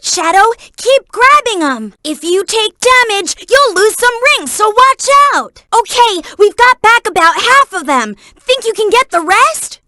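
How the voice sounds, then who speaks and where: Kid fox sidekick for a game, super high boyish voice, earnest n helpful, really animated, dry studio sound. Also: female voice, no echo, exaggerated voice. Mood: cheering on. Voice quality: childlike, boyish. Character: eager, friendly young boy fox sidekick. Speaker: video game helper character. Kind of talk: video game voice clips, cheers and tutorial hints.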